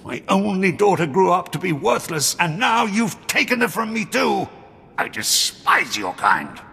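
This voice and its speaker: An actor playing a bitter, vengeful middle-aged father turned rebel in a video game, gravelly, strained and accusing. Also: high-pitched, sing-song, a little slow, sharp tone, exaggerated voice. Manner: dramatic, accusatory. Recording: long hall reverb, audible background noise.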